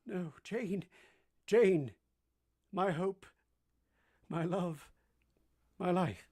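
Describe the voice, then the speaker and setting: A man acting a brooding, bitter English gentleman in a period radio drama, impassioned and declamatory, pleading with his beloved. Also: sits high, extremely slow, husky, exaggerated voice. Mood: anguished pleading.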